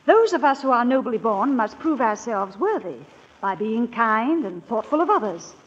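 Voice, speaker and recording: An actress playing a gentle, indulgent aunt of noble family in a 1940s style radio drama, with genteel, affectionate phrasing. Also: female voice, on the high side, very animated, moderate pace, husky. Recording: dull old radio recording, long hall echo.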